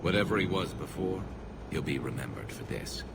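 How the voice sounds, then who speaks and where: A man playing a loyal, world-weary alien soldier in a video game, speaking in a measured, reflective way. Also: very deep voice, lively intonation, creaky, heavily acted. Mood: sombre, reflective. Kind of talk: video game cutscene acting. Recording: loud vehicle hum behind the voice.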